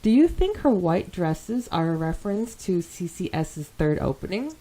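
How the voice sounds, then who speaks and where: A woman hosting a podcast, chatting casually and reading listener comments aloud in an animated way. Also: lowish voice, normal speed, poor audio. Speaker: podcast host.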